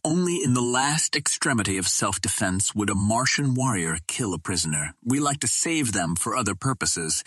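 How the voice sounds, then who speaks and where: Stern, husky Martian warrior chieftain read by a man. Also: lowish voice, expressive, a bit bright, no echo, clean studio sound. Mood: stern, solemn. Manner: formal, stern, measured.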